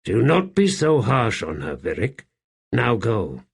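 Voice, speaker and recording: A man voicing a shrewd slave in an audiobook, calm, deferential and measured, speaking quietly. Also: mid-range voice, vocal fry, slightly rough, heavily acted. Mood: quietly persuasive. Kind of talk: audiobook character acting.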